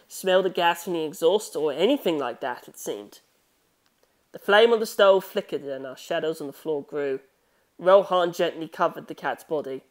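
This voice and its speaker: A narrator reading a scripted story in an expressive, measured storytelling manner. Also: medium pitch. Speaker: audiobook narrator.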